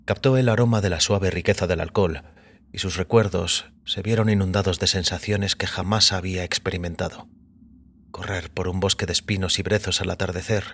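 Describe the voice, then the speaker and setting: Deep male narrator reading a story, measured and literary, faint ambience behind him. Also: slight background noise. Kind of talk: scripted audiobook narration.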